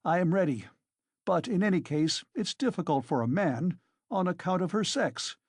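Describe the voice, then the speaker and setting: A reader voicing a pompous, reproachful middle-aged man who speaks smoothly and formally. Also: medium pitch, animated, moderate pace, no echo, clean studio sound, pitched above the performer's own voice.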